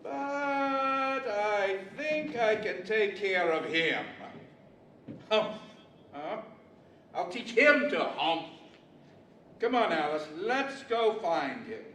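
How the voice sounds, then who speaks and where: A man's voice: high voice, expressive, extremely slow, pauses often, a bit bright, exaggerated voice. An older man playing an imperious djinn, boss of the deserts, pompous and scolding in a radio theater style. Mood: commanding. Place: large library event room, live stage. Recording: distant, echoey live hall with faint noise.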